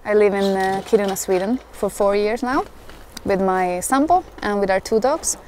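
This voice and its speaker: A woman's voice: medium pitch, normal speed, darkish tone, a little hiss or hum. Young woman telling her story. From Hungary. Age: young adult. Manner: earnest, emotional, chatty with fillers. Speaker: interviewee. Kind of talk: personal storytelling interview. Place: outdoors in a forest. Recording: clear music bed under the voice. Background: music.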